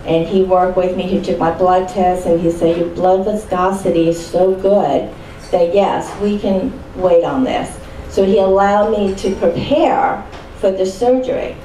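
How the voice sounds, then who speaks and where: A woman's voice: lowish voice, steady tone, a little slow, dark tone. Older woman speaking in a roomy space. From Chile. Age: 60s. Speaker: panel speaker giving a testimonial. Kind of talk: personal testimony to an audience. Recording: roomy, distant mic, faint background noise.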